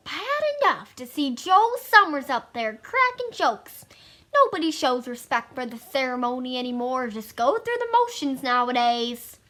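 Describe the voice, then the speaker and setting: A woman's voice: high-pitched, very animated, normal speed, pushed and bright, heavily acted. A woman playing a grumbling elderly townsperson who reminisces in a folksy way, in an amateur play acted over video call. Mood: disapproving. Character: grumbling elderly town old-timer, nostalgic for strict ritual. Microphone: video call microphone.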